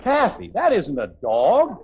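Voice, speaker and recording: A man's voice: high-pitched, wildly swooping pitch, unhurried, breathy edge, full character voice. A man playing an exasperated middle-aged father, stern, flustered and sarcastic, in a 1950s radio sitcom. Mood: alarmed, stern. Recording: dull, noisy 1950s radio recording.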